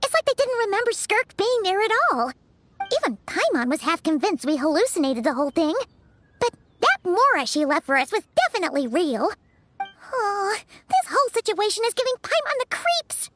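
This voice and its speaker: A woman voicing a small, chatty, easily spooked fairy-like girl companion in a video game, with a childlike squeaky voice. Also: sing-song, moderate pace, fairly bright tone, heavily acted. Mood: curious, uneasy. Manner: refers to self in third person, exclamatory. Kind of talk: animated video game dialogue. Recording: music behind the voice.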